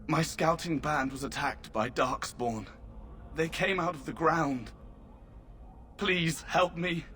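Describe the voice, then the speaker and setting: Casting: a dying soldier, weak and pleading, slightly high and slow. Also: male voice, fairly bright tone, slight background noise. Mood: desperate. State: wounded, weak. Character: wounded male soldier, desperate and pleading.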